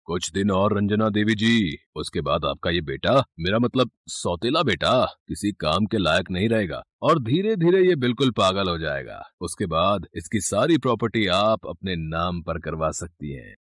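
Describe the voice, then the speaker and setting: Guy acting serious medic in a story, low voice, measured, dry recording. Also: animated, a bit bright, no room sound. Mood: conspiratorial. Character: conspiring compounder and grave doctor, adult men.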